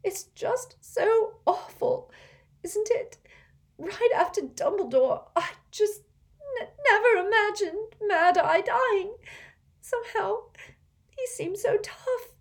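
A woman's voice: very high voice, animated, moderate pace, hardly any pauses, warm tone, pitched above the performer's own voice. A woman voicing an earnest, bookish teenage girl witch who turns tearful and quavering. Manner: tearful, quavering in sad moments.

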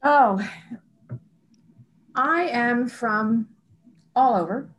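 Guest voice: an older woman, a bit high, slow and unhurried, on a dull lo-fi line. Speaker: guest, professor emeritus. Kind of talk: casual chat. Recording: dull lo-fi audio.

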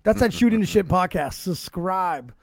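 Man, forties, podcast host, high voice, slangy, chatty, dry sound. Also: animated, moderate pace, no echo. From Canada. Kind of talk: casual podcast chat.

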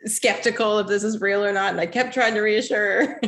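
A woman interviewing a colleague in a relaxed conversation, laughing along and reassuring her. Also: mid-range voice. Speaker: interviewer, coworker. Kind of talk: casual conversation.

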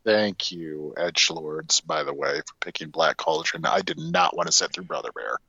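A man's voice: extremely low pitch, moderate pace, creaky, sharp tone, breathy edge, no room sound, muffled recording. A man co-hosting a casual movie podcast, talking in a wry, informal way. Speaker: podcast co-host.

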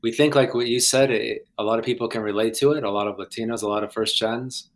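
A young man from Guatemala, a filmmaker guest on a podcast, talking in a halting, hesitant way with many restarts. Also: mid-range voice, fairly even intonation. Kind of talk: casual podcast interview.

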